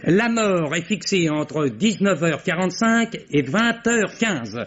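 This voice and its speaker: An actor playing an eager, deferential young police assistant in an old French radio mystery, reporting precisely and formally. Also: male voice, high voice, expressive, slow pace, fairly bright tone, exaggerated voice. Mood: precise, factual. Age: young adult. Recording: dull old radio drama recording.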